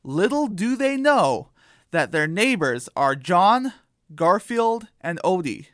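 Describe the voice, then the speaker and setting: A narrator reading a scripted comic radio drama in a deliberate storybook manner. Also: medium pitch, sing-song, a bit bright, no room sound, clean studio sound.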